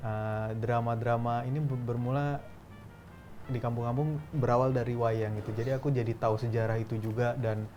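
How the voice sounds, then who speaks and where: A man who is a guest actor, talking in a relaxed, thoughtful way with many fillers. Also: mid-range voice, normal speed, soft background music. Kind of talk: casual interview chat.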